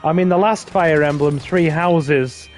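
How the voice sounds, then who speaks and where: A man's voice: on the high side, unhurried. A man chats his way through a game stream in a slightly raised voice. His talk rambles with fillers and restarts. Game noise hums faintly behind him. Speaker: streamer host. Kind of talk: casual gaming stream chat.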